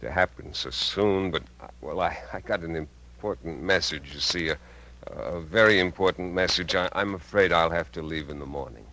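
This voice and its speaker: Sinister middle-aged American uncle, low and hard-edged, smooth talker, vintage 1950s radio thriller. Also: male voice, bright, forceful tone. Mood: halting, regretful. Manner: smooth, persuasive, halting under pressure. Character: smooth, menacing middle-aged uncle hiding murderous intent.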